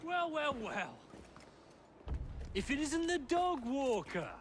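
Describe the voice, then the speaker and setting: An actor playing a menacing, taunting Victorian London street thug in a video game. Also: male voice, extremely high pitch, sing-song, takes it slowly, background noise, heavily acted. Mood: menacing, mocking. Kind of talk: video game cutscene acting.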